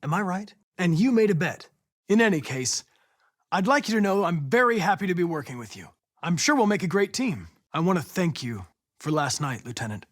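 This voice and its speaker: An earnest young male android detective for a video game. His voice sits high and moves expressively up and down. The diction is formal and exact, polite in temper, captured close and dry with no room sound. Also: very animated, no room sound. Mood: formal, serious, then friendly, polite. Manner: precise, formal, measured diction. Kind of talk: scripted video game dialogue.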